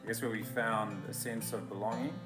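A man's voice: fairly low pitch, fairly even intonation, fairly quick. Reflective man, echoey room, guitar. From South Africa. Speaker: church member. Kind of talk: testimony interview.

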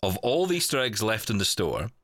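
A man with a very deep voice hosts a casual podcast chat. His pitch swings around in an animated way as he rambles through his stories. The sound is dry and close. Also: brisk, no room sound. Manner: chatty, rambling, animated storytelling. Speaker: podcast host and producer.

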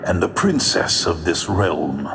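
A man's voice: deep voice, very animated, vocal fry, heavy background noise, exaggerated voice. A man playing a solemn, brutally honest magic mirror spirit in a radio fairy tale parody, speaking in a grand, archaic way. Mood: solemn, ominous. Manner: slow, grandly archaic, drawn-out delivery. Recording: long hall reverb, music underneath.

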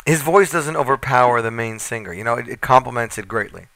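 Bright, smooth male radio interviewer. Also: medium pitch, quick pace, dry recording. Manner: chatty, enthusiastic, stacks up questions.

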